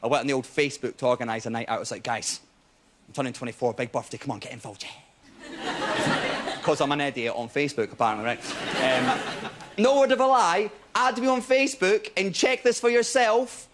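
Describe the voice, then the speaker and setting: A Scottish man in his twenties doing a stand-up set on stage, telling stories quickly and with punchlines. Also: medium pitch. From Scotland. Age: 20s. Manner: quick, animated storytelling with punchlines. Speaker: stand-up comedian. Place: TV studio stage before a live audience. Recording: audience laughter and crowd noise.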